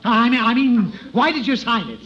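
High-voiced, blustering exasperated father. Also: lively intonation, normal speed, fairly bright tone. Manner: sputtering, sarcastic. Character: exasperated, blustering, forgetful middle-aged father. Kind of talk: 1950s radio comedy acting. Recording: dull, slightly noisy old radio recording.

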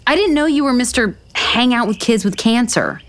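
A woman's voice: fairly high pitch, expressive, moderate pace, a bit bright. An actress playing a blunt, sarcastic, self-deprecating woman writer in a naturalistic romantic comedy audio drama. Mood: wry, candid.

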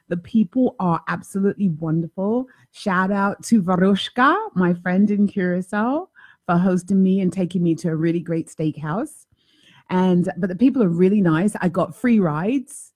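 Husky-voiced chatty woman host. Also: mid-range voice. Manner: animated, chatty, self-mocking. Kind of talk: casual chat. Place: home office with bookshelves. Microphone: desk mic on boom arm.

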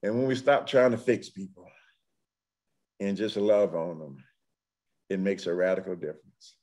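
A man's voice: deep voice, moderate pace, darkish tone. A man in his sixties with a Southern US accent, a pastor preaching a sermon in rhythmic, repeated refrains. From Tennessee, United States. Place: room at home. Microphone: computer mic on video call.

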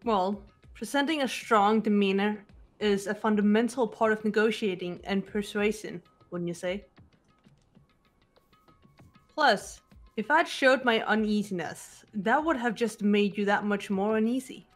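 A woman voicing a composed, analytical teenage girl in a measured, formal way for a fan-voiced visual novel. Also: medium pitch, soft background music, natural voice. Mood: calm, confident.

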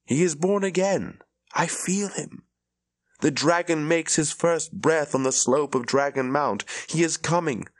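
A man voicing an old seer woman who speaks a prophecy as she dies, in a high, urgent voice. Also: a little slow, no room sound, studio quality. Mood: rapt. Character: old Aes Sedai seer woman prophesying while dying.

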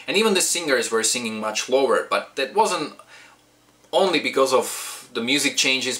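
A young man with a Slovak accent, a singer and English teacher, giving casual advice to camera from a small room at home, talking with his hands and rambling. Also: medium pitch. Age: young adult. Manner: animated, gestures with hands, rambling asides. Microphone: webcam or camera at desk distance.

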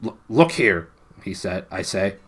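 A man's voice: mid-range voice, very slow, breathy edge, voice pushed up. A man reading aloud, voicing a nervous schoolboy who stammers in a raised, strained voice. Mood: flustered.

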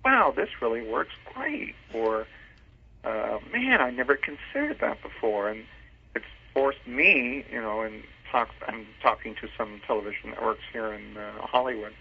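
A man's voice: mid-range voice, lively intonation, moderate pace, vocal fry, slight background noise. A man talking as an interview guest in a conversational, emphatic way, acting out his own thoughts. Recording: muffled, tinny phone line.

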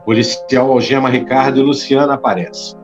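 A man's voice: mid-range voice, steady tone, normal speed, muffled recording, over music. A man narrating an audio drama in a scripted style.

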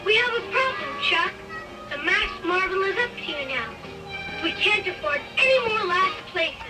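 Actor voicing a very high, lively cartoon kid. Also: normal speed, poor audio. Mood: earnest. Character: children of a cartoon school sports story, eager, competitive. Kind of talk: cartoon read-along dialogue. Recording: old record playback with music.

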